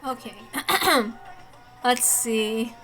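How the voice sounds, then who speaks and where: A woman's voice: on the high side, very slow, pushed and bright, low-quality recording. A woman reading visual novel text aloud expressively as a streamer, with casual asides in between. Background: game soundtrack music.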